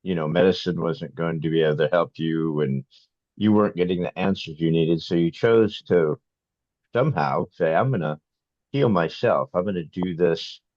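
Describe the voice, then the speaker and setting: Male interviewer, deep voice, conversational podcast hosting, dull lo-fi recording. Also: normal speed, a little mellow. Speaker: podcast host. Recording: dull, roomy sound.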